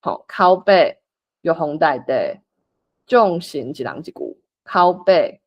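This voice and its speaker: Informal Taiwanese facilitator with very lively pitch. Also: takes it slowly, muffled recording. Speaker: workshop facilitator. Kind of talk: explaining and organising a group script reading.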